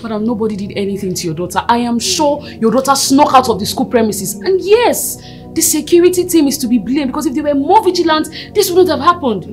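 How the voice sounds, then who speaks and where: An actress playing a composed school principal who speaks formally and firmly. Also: female voice, sits high, fairly quick, faint music behind. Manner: calm, formal, reassuring. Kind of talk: film drama acting.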